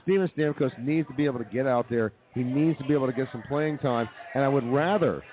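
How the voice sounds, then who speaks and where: A man's voice: medium pitch, quick pace. A man co-hosting a casual sports talk show, giving confident opinions and often restarting his sentences. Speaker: co-host. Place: brewery, live show. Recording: tinny phone-like sound.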